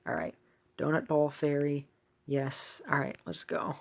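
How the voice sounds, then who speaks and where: A woman's voice: sits low, level tone, a little slow, vocal fry. A woman giving casual, chatty gameplay commentary with a self-deprecating manner as she hosts a gaming video.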